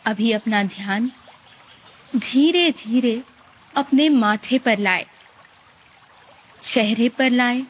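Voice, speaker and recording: Female meditation guide. Slightly high register, markedly unhurried, calm and gently instructive with lots of space between phrases.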